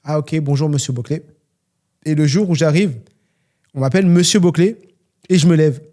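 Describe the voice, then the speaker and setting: Earnest man with a mellow voice. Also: fairly high pitch, moderate pace. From France. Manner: earnest, motivational, many fillers. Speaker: podcast host and trainer. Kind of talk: solo Q&A podcast talk.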